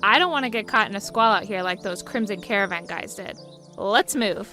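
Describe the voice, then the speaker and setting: A woman playing a brisk, easygoing caravan leader in a fan audio drama, folksy and businesslike. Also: medium pitch, lively intonation, bright, forceful tone, soft background music. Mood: alert.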